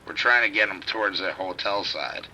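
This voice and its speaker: A man's voice: low-pitched, moderate pace, pushed and bright, slight background noise, rough recording. An actor playing a frightened male police officer calling for help over the radio, urgent and swearing. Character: male police officer trapped in a casino outbreak. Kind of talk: audio drama acting.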